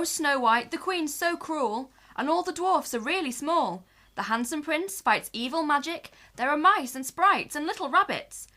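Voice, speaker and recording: Woman as a bright-voiced pantomime prince. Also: sits high. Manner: declamatory, rhythmic verse reading. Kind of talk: amateur pantomime verse recital.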